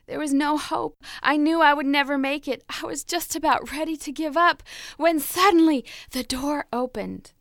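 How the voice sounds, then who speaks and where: A woman's voice: high voice, moderate pace, fairly bright tone, dry recording, clean studio sound, pitched above the performer's own voice. A woman voicing a fragile, dramatic talking teacup that pleads breathlessly as it tells its story. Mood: desperate.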